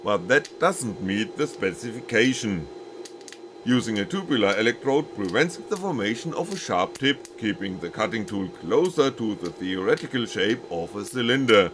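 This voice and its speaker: A man's voice: on the low side, lively intonation, moderate pace, noisy background. A man reading scripted technical narration as a maker presenting his own project.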